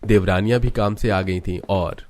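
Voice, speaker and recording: A man's voice: fairly low pitch, steady tone, normal speed, darkish tone. A man reading scripted storybook narration as the narrator of a cartoon tale.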